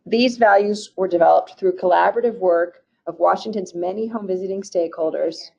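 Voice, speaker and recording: A woman from a state agency presenting slides in a webinar, reading through program goals in a steady, formal way with occasional restarts. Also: on the low side, a little fast, vocal fry. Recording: muffled, dull webinar audio.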